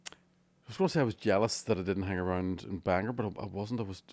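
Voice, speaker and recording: A man's voice: low-pitched, fairly even intonation, quick pace, a little mellow. A Northern Irish man, a comedian and podcast co-host, telling sweary stories in casual chat and slipping into put-on voices.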